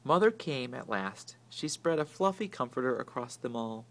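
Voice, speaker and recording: A man reading a children's story aloud in an expressive, theatrical way that swings from hushed to booming. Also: normal speed. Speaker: storybook reader.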